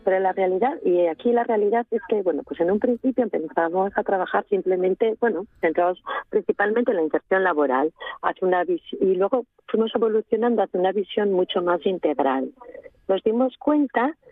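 A woman's voice: medium pitch, expressive, fairly quick, no room sound. Woman on a tinny phone line. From Asturias, Spain. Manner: hesitant, many restarts and fillers. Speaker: guest, NGO regional delegate. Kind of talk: radio interview by phone. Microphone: telephone.

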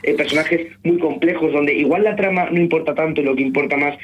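A man's voice: on the high side, fairly even intonation, brisk, background noise. Chatty young man on muffled radio. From Spain. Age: young adult. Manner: chatty, self-mocking, restarts often. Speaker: novelist guest. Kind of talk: radio interview.

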